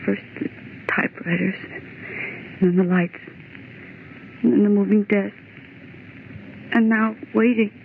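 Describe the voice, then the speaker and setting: A woman's voice: medium pitch, steady tone, a little slow, vocal fry, pauses often, phone-quality sound. An actress playing a frightened woman office worker who urgently pleads in an old-time radio horror drama. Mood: grim dread. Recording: noisy, band-limited old radio recording.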